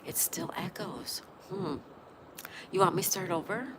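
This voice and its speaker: A woman's voice: lowish voice, measured pace. A woman hosting a casual livestream, with a stuffed-up nose, greeting viewers by name and reading their chat aloud. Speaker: livestream host. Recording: loud background noise.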